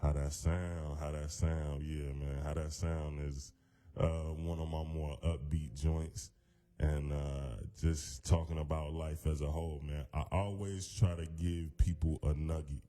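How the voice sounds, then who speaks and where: A man with a very deep voice, a hip-hop artist talking as a guest in a radio studio in a loose, laid-back way full of fillers. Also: flat delivery, unhurried, dark tone. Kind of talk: casual radio interview.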